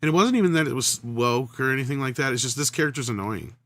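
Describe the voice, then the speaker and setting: A man's voice: mid-range voice, lively intonation, fast, a bit bright, no echo, clean studio sound. A man in casual conversation, giving candid opinions with some hesitation. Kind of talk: casual chat.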